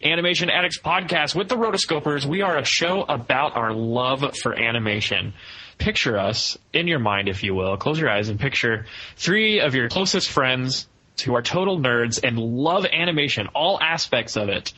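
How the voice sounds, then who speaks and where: This is a man with a bright, forward voice at an ordinary male pitch. He hosts a podcast with enthusiasm and a joking streak, moving easily between banter and review talk. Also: expressive, moderate pace, husky, rough recording. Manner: jokey, enthusiastic. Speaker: podcast host.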